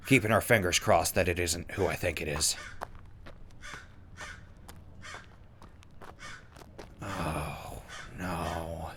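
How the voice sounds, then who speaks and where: Man playing a low-voiced wasteland radio host. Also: gravelly, fairly bright tone, a little hiss or hum, heavily acted. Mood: worried. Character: wasteland radio host and wanderer, wry, loyal, weary. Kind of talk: audio drama log and mock sponsor reads.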